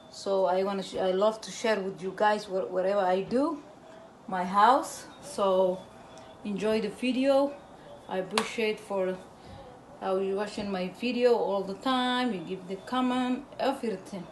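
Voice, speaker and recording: A Somali woman with a Somali accent, hosting her cooking channel from her home kitchen in halting English, recorded by a camera a little way off. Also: mid-range voice, slight background noise. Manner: halting broken English, repeats words while explaining. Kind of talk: casual cooking demonstration.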